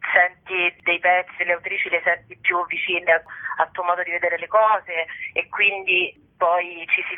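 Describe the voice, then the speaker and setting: Woman chatting on a phone interview, informal n jokey, muffled narrow line. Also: fairly low pitch. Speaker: actress, interview guest. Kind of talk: casual interview chat. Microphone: telephone call.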